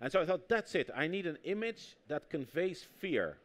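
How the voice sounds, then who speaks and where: Man, Dutch accent, native Dutch, anecdotal and lively, slightly raised pitch, onstage interview guest. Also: moderate pace. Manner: lively anecdotal storytelling, acts out quotes. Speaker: author and anthropologist guest. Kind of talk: conversational interview on stage. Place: public talk event.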